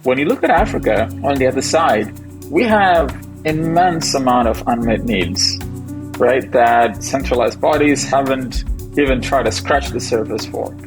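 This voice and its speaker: A young adult man from Ethiopia, a founder and investor, giving measured, thoughtful interview answers with the odd restart. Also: mid-range voice, lively intonation, music in the background.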